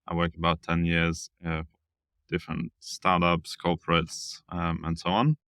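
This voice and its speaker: Male interview guest from southern France, low creaky voice with flat intonation, thoughtful and halting. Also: dry recording, studio quality. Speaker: interview guest, village founder. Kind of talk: casual interview conversation.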